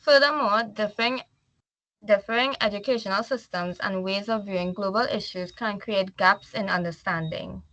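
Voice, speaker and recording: A woman's voice: mid-range voice, normal speed, fairly bright tone, rough recording. A woman from Trinidad with a Trinidadian accent, giving a class slide presentation. She reads from slides, then explains in loose everyday talk.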